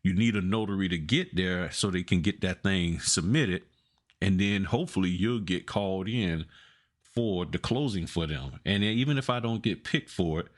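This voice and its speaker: A man's voice: low voice, moderate pace. A man co-hosting a podcast, chatting casually and colloquially, making his points through everyday examples. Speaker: podcast co-host. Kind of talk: casual chat.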